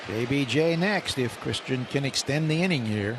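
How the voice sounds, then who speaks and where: A man doing radio play-by-play of a baseball game, rising sharply in pitch when calling live action. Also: lively intonation, normal speed. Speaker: play-by-play announcer. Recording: radio broadcast with loud ballpark noise.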